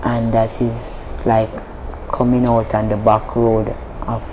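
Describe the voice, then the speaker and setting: A woman from Jamaica with a Jamaican accent, a guest telling a long story in an interview, slow and deliberate with frequent restarts. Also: very deep voice, little pitch movement, background noise. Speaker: interview guest, Maroon leader.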